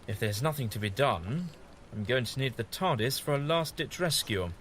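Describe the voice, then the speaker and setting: A man's voice: medium pitch, expressive, moderate pace, a bit bright, a little hiss or hum, lightly acted. A man playing a whimsical, kindly, evasive time-travelling adventurer in an audio drama, with fussy and hesitant politeness. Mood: gentle, hesitant.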